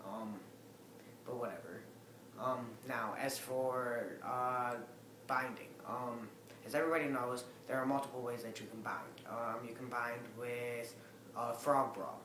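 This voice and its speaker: A young man with a raspy, mid-pitched voice talks loosely to his webcam, padding his thoughts with ums. He is recorded in an echoey living room, and a steady hiss sits behind him. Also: a little slow. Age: young adult. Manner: rambling, many ums, loose and casual. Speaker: vlogger. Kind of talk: casual update to camera. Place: living room with a Christmas tree. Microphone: webcam at desk distance.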